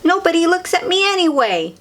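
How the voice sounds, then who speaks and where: A woman's voice: extremely high pitch, very animated, a little fast, fairly bright tone, voice pushed up, heavily acted. A woman voicing a dejected male police officer in a children's storybook, speaking in plain, sulky statements. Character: dejected male police officer who gives safety tips.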